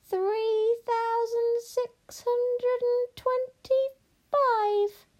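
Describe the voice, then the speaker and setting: A woman voicing a cruel, miserly old storybook witch who draws out her words with cold, deliberate disdain. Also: very high voice, flat delivery, a little mellow, voice pushed up, exaggerated voice. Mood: gloating, absorbed.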